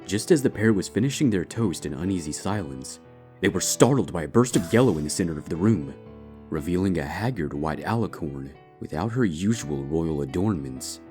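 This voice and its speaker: Deep-voiced man narrating a dark story. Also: animated, moderate pace. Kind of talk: dramatic scripted narration of dark fan fiction. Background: background music.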